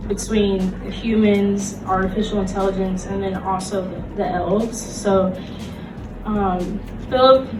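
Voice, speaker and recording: A woman giving an informal convention panel presentation in a casual, hesitant, conversational way. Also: mid-range voice. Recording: roomy, distant mic, loud background music.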